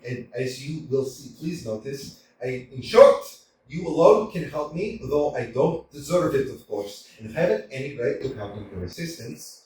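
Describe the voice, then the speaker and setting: A man's voice: fairly high pitch, darkish tone. A man playing a flustered, stammering thirty-five-year-old suitor who worries about his health, in a staged play reading recorded from a distance in a roomy hall. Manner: stammering, flustered, nervous laughs. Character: nervous anxious 35-year-old suitor, hypochondriac.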